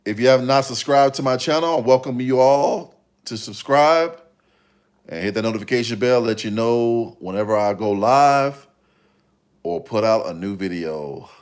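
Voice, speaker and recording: A man's voice: medium pitch, moderate pace, clear, resonant tone. Rambling man in his fifties, livestream chat. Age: 50s. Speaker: livestream host. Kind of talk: casual livestream chat replying to viewers.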